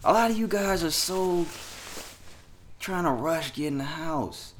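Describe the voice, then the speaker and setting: A man's voice: fairly high pitch, lively intonation, measured pace, slight background noise. A man in a casual chat, laid-back and blunt, joking with his co-host.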